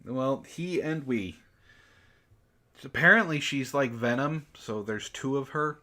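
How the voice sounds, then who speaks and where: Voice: medium pitch, takes it slowly, sharp tone. A sarcastic, exasperated book club host who swears freely, reading aloud mockingly and breaking off for casual commentary.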